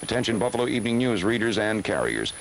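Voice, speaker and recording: A man's voice: lowish voice, very animated, fast talker, noisy background. An American man hosting live 1970s radio, reading storm announcements and bulletins. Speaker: radio host. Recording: 1970s radio aircheck on cassette.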